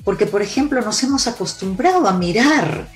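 A woman's voice: medium pitch, moderate pace, soft background music. A woman, born in Cuba and raised in Peru, giving emphatic relationship advice as an interview guest. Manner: emphatic, lively storyteller with sweeping declarations.

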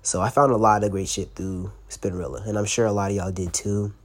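A man's voice: on the low side, steady tone, a little fast. A young man with a cold hosts a casual solo podcast, sounding stuffy and congested, and talks in a loose, slangy way with plenty of swearing. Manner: loose and slangy, swearing, laughing now and then. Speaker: podcast host.

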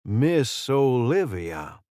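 A man's voice: medium pitch, big pitch swings, drawn-out words, a little mellow, clear, resonant tone, no echo, studio quality, pitched above the performer's own voice. A man voicing a genial, courteous fey assistant with a Southern drawl in an audiobook story. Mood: fond, courteous. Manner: courteous, chatty, folksy.

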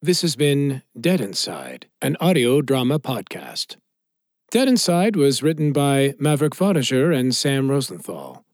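A man with a gravelly, husky voice doing dramatic, scripted announcing for an audio drama podcast. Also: medium pitch, big pitch swings, unhurried, darkish tone, no echo, studio quality.

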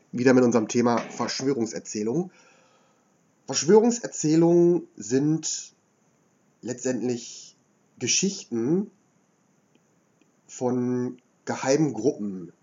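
A man with a slight cold and a hoarse edge, explaining history as a podcast host in a measured lecturing way with frequent filler sounds. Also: medium pitch, warm tone, lo-fi sound.

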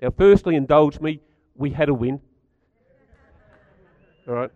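A middle-aged man with a croaky voice, giving a chatty talk to a live audience and asking them questions. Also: on the high side, unhurried, dark tone, dry recording, dull audio. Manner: chatty, stats-driven, asks the audience questions. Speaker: speaker at a community gathering.

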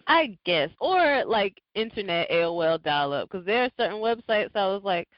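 A woman podcast co-host talks casually with a very lively, swooping intonation. She tells things in an animated, conversational way. The sound is dry and close, with a slightly dull top end. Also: medium pitch, moderate pace, dry recording. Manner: animated storytelling. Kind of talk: casual chat.

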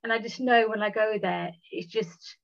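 A woman who stammers, talking haltingly in a casual conversation with repeated words. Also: medium pitch, creaky. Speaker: guest sharing experience. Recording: dull lo-fi recording.

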